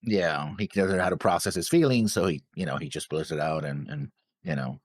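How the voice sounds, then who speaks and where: A man's voice: deep voice, brisk. A man chatting casually as a co-host, chiming in briefly and in a laid-back way. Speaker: co-host of a show reaction video. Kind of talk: casual chat.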